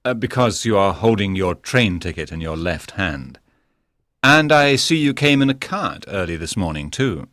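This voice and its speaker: A man voicing a calm, courteous gentleman detective in an audiobook story, speaking politely and reassuringly. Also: low voice, moderate pace, no echo, studio quality. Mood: calm, knowing. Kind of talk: dramatised audiobook reading.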